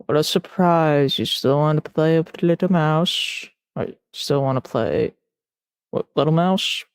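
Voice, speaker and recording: A man's voice: fairly high pitch, a little slow, clean tone, no echo. A man hosting a reaction video, giving casual, slangy commentary and muttered asides.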